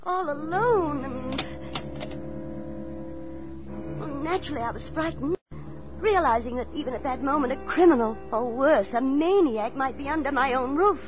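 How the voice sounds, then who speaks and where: A woman's voice: sits high, expressive, moderate pace. An actress playing a prim, fussy older landlady in a 1940s radio thriller, fluttery, proper and theatrical, with a chilling sweetness. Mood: flustered. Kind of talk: 1940s radio thriller acting, first-person narration. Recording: muffled 1940s radio broadcast, music behind.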